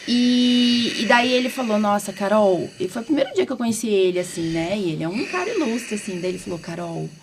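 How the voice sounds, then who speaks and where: A woman's voice: medium pitch, animated, a little fast, few pauses. Casual Brazilian woman host, noisy background. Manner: informal, peppered with check-in tags. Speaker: podcast host, doctor. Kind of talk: casual conversational interviewing.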